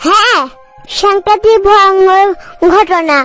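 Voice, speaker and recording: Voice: very high voice, fairly even intonation, slow, pushed and bright, full character voice. A cartoon voice of a bossy little girl, self-assuredly telling absurd tall tales in a childish, scolding manner. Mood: earnest, dramatic. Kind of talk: comic cartoon dialogue. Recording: dull lo-fi audio, music behind.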